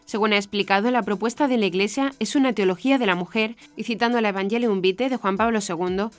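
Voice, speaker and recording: A woman presenting scripted news in a formal, measured way. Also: medium pitch. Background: background music.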